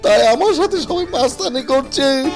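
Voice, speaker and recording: An actor voicing a greedy, excitable, melodramatic little man in a Bengali cartoon dub. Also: sits high, normal speed. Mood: apologetic, whiny. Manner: exclamatory, melodramatic. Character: greedy excitable samosa-loving cartoon man shrunk small. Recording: loud music under the voice.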